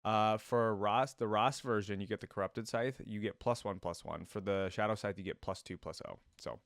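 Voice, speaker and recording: A man's voice: fairly low pitch, normal speed, no echo. A man hosting a reaction video, chatting casually and thinking aloud as he comments.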